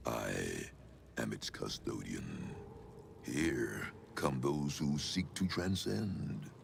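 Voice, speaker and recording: Ancient sinister cult elder, video game, heavy gravelly rasp, slow solemn menace, faint noise. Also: male voice, exaggerated voice. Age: older. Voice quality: very deep gravelly voice. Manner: solemn, measured, archaic phrasing. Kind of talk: dramatic video game monologue.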